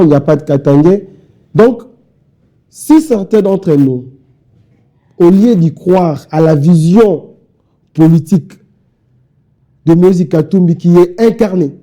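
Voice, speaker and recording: Bright-voiced young Congolese party spokesman. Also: fairly high pitch. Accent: Congolese French. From Kinshasa, DR Congo. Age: young adult. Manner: insistent, repetitive speechmaking. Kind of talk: televised interview.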